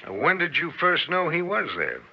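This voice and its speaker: Blunt police investigator, lively pitch. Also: male voice, moderate pace, gravelly, slightly rough, exaggerated voice. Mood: businesslike, probing. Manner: blunt, direct questioning. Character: male state police investigator, brisk, probing, skeptical. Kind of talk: old-time radio drama acting. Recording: dull, band-limited old radio recording.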